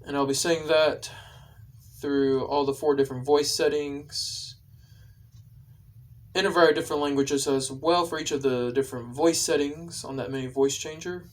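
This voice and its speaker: A man casually explaining his video to viewers, with halting, repetitive phrasing. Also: fairly high pitch, steady tone, far from the mic. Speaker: video creator.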